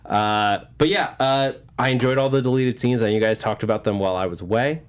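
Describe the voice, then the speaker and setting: A man hosting a casual movie news chat, talking with enthusiasm and animated asides. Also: medium pitch, a little fast, clean tone. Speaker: show host.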